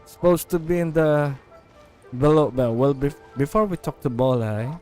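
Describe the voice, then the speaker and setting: A man's voice: fairly high pitch, moderate pace, a little mellow. A man from the Philippines with a Filipino accent, live streaming a game with casual commentary and reading dialogue aloud. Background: game music.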